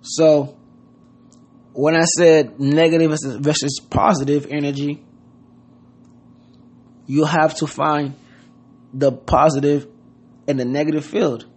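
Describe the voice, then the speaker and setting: Earnest man, slightly high voice. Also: flat delivery, slow, long pauses, slightly rough. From Haiti. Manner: earnest, repeats words, loose grammar, many "you know what I mean". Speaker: podcast host. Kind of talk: casual motivational monologue.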